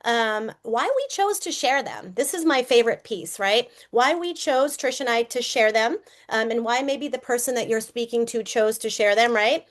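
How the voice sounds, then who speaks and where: A woman in her fifties with a Boston accent leads a live-streamed sales presentation. Her voice sits a little high. She talks to her viewers like friends, often tagging "right?" and asking them to drop a comment. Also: lively intonation, moderate pace, few pauses. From Boston area, USA. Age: 50s. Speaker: network marketing presenter.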